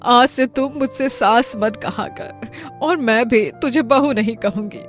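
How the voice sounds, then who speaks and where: A woman's voice: a little high, unhurried, faint music behind, lightly acted. An actress playing an elderly, protective and long-suffering mother-in-law in a melodramatic story cartoon, with an emotional delivery. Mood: grateful, tender. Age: older. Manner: emotional, pleading.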